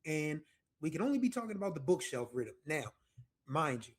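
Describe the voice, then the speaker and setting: An American man in his forties talks through a music episode in a loose, chatty way, wandering into asides and correcting himself as he goes. His voice sits a little higher than most men's. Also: very animated, brisk, breathy edge. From United States. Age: 40s. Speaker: music podcast host. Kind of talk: casual music commentary.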